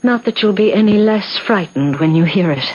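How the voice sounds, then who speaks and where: A woman's voice: medium pitch, expressive, normal speed, over loud music, lightly acted. A woman playing an uneasy wife confiding a secret, hesitant and weary, in a 1970s radio drama. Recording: dull, noisy old radio broadcast.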